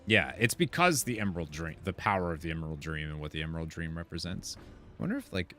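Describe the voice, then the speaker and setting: Man, deep voice, casual gaming commentary, thinking aloud, faint music behind. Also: lively intonation, quick pace, a bit bright. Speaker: gaming content creator. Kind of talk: casual game commentary, reading quest text aloud. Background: game music and sounds.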